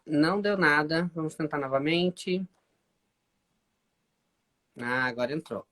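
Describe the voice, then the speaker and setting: A Brazilian man hosts a live stream in a casual, animated way and speaks straight to his audience. His Brazilian Portuguese accent carries into his English. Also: high voice. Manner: chatty, animated, addresses viewers as "gente". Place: home in the UK.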